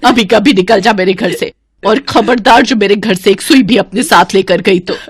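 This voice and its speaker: A woman voicing a harsh middle-aged mother-in-law who curses and scolds loudly. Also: normal speed, breathy edge, dry recording, clean studio sound, close to an everyday voice. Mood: furious. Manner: shrill, scolding, cursing.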